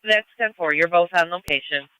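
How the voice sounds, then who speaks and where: A man's voice: sits high, a little fast, pushed and bright, clear, resonant tone, no room sound, poor audio. A man working as an emergency dispatcher, speaking in clipped radio procedure and repeating details back.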